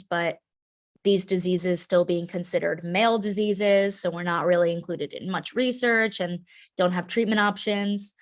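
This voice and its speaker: A young woman speaking earnestly in a webinar, conversational with frequent ums. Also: lowish voice. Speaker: carrier advocate, organization founder. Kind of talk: webinar talk.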